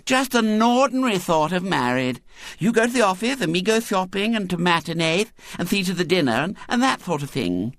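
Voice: mid-range voice, sing-song, fairly quick, no echo, voice pushed up. A storyteller voicing an imperious, simpering little girl with a sweet, lisping, wheedling manner. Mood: eager. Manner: lisping, sugary, pleading.

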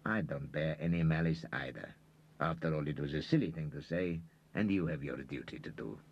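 A man voicing a smooth, falsely charming schemer. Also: very deep voice, normal speed, lo-fi sound, full character voice.

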